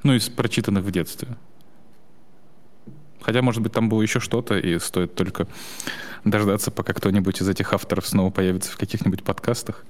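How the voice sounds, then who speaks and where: A young man with a fairly low voice chats casually on a podcast. He talks haltingly, restarting his sentences and letting short laughs slip between thoughts. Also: steady tone. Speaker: podcast co-host.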